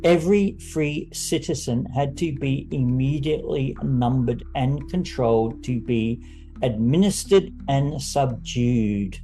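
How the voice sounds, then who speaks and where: Male audiobook narrator: unhurried dramatic reading, mellow tone, faint music underneath. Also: mid-range voice, low-quality recording. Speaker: story narrator.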